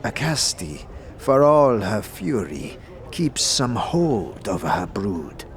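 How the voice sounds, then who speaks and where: Man playing a slow, grave fantasy scholar. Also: fairly low pitch, sing-song. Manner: deliberate, grave, precise. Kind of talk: fantasy audio drama acting.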